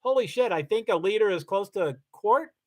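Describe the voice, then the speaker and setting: A man's voice: high voice, expressive, a little fast, a little mellow. Rambling chatty man from Kentucky. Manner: rambling, self-correcting, chatty. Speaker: livestream host. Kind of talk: casual livestream chat, reading viewer comments.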